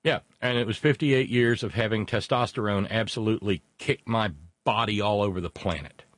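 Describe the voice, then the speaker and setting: A man in his late fifties talking candidly as a show host, halting yet emphatic, with folksy colloquial phrasing. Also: fairly low pitch, no echo. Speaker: show host taking a call. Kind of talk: candid personal conversation.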